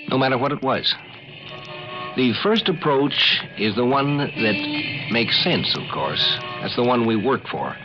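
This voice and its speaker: A man hosting a 1950s radio show, giving a conversational introduction with deliberate, hesitant pauses. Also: mid-range voice. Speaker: host. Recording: muffled 1950s radio recording.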